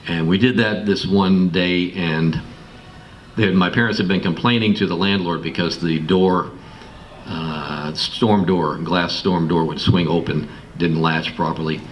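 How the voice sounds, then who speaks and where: Man, American accent, deep voice, informal personal storytelling, halting and reflective, distant noisy recording. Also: fairly even intonation. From Massachusetts, United States. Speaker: guest speaker telling his life story. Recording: distant mic, clearly noisy room recording.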